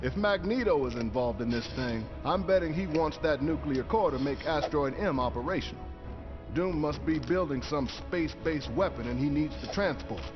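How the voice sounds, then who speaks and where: A male actor as an authoritative game commander with a slightly high voice. He briefs his team briskly and strategically. The audio is dulled, with clear music behind him. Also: animated, lightly acted. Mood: brisk, commanding. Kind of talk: video game cutscene acting. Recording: muffled game audio under loud music.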